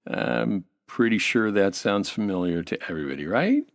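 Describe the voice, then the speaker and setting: A man's voice: on the low side, sing-song, moderate pace, no echo, studio quality. An American man as an interview guest, giving an affable, informative explanation in a semi-scripted style.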